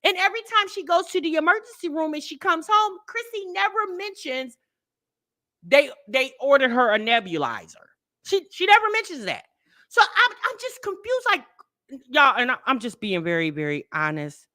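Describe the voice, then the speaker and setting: High, lively woman chatting to viewers. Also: normal speed. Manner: chatty, talks straight to viewers, repeats herself for emphasis. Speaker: reaction channel host. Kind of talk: casual commentary to viewers.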